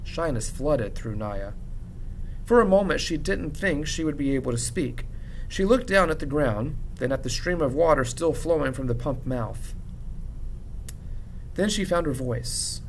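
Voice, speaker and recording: A man reading a children's novel aloud like a teacher narrating to students, with low rumble behind him. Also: lively intonation, unhurried, low-quality recording. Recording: clear background rumble noise.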